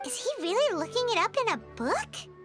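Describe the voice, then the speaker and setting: A small, excitable fairy companion from a video game. The voice is extremely high and squeaky, with animated swings and a cheeky, childlike temper. Prominent music plays behind it. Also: female voice, a little fast. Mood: curious, skeptical. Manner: refers to herself in the third person, exclamatory. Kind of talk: video game character acting.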